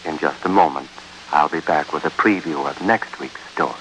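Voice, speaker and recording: A man hosting and narrating a 1950s radio suspense show in a solemn, deliberate, dramatic manner. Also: extremely low pitch, fairly bright tone, slight background noise, poor audio. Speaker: host and narrator. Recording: vintage 1950s radio recording.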